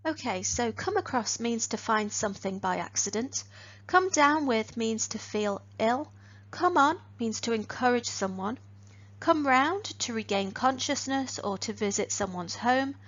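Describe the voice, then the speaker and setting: A woman teaching phrasal verbs in an online lesson. She speaks in a clear, deliberate way and lifts each new phrasal verb. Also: on the high side, lively intonation, a bit bright, lo-fi sound. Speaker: English teacher.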